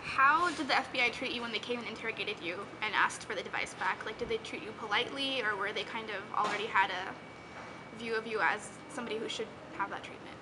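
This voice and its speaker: A young woman with a fairly high voice works through interview questions, casual and a bit hesitant, filling gaps with "like" and "okay". Clear background noise sits behind her. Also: brisk. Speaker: student interviewer.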